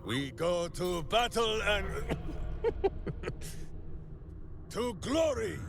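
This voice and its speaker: A big-hearted, simple-minded warrior hero for a video game. He speaks slowly in a gravelly voice that swings widely in pitch, with earnest, grandiose declarations. Music plays behind him. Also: sing-song, background noise, full character voice. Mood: rousing. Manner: grandiose, earnest third-person speech. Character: hearty, devoted, simple-minded heroic warrior man with beloved pet hamster. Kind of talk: theatrical video game voice acting.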